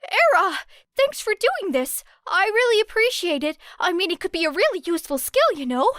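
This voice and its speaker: Woman acting high-pitched, gushing teenage boy. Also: sing-song, normal speed, fairly bright tone, no echo, studio quality, exaggerated voice. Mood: excited, grateful. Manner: gushing, earnest. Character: eager, polite, excitable teenage boy student. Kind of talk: audiobook character voice.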